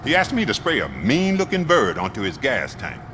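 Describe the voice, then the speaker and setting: A man's voice: mid-range voice, huge pitch swings, moderate pace, bright, forceful tone, a little hiss or hum. A man playing a wisecracking, talkative car mechanic in a video game, casual and wry. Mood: chatty, wry.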